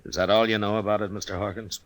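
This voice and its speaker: An actor playing a steady, dry-humored frontier marshal in a 1950s radio western, speaking in a laconic, unhurried way. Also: male voice, fairly low pitch, lightly acted. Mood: wary, calm. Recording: noisy vintage 1950s radio recording.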